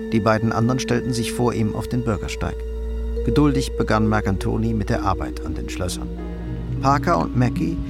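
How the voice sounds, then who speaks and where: Man, scripted crime narration, measured storytelling, creaky voice, music behind. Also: mid-range voice.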